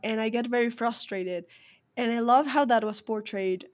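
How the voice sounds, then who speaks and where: Higher-pitched woman. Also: moderate pace. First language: Spanish. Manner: thoughtful, explanatory, academic. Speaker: podcast co-host. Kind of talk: casual podcast chat.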